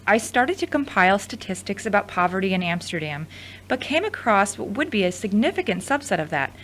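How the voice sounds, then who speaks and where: A woman's voice: medium pitch, sing-song, brisk, a bit bright, slight background noise, poor audio. A woman playing a frank, supportive ex-girlfriend in a conversational audio drama. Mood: businesslike. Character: frank, teasing, supportive ex-girlfriend and studio manager.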